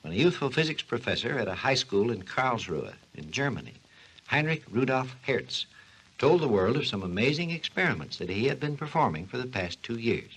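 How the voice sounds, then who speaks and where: A learned, patient doctor of science on a vintage 1940s radio program. He lectures like a storyteller, with animated rises and falls. The voice has a slightly rough, unclear grain from the old recording. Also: mid-range voice, a little fast, heavily acted, rough recording. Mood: explanatory. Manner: didactic, storytelling delivery. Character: learned, patient male scientist explaining invention history. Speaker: expert guest on a science program. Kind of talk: 1940s scripted educational radio dialogue.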